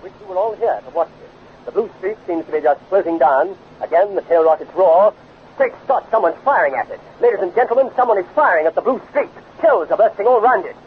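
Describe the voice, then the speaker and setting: High-pitched, urgent old-time radio commentator. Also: male voice, lively intonation, fairly quick. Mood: excited. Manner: urgent, dramatic live commentary. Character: excited male radio commentator, alarmed eyewitness reporter. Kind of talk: 1950s radio serial acting. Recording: muffled, noisy 1950s radio recording.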